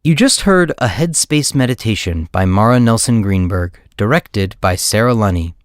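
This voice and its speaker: A male announcer with a lowish voice reads scripted credits. The sound is dry and close, free of room echo. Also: animated, moderate pace, smooth. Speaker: podcast announcer.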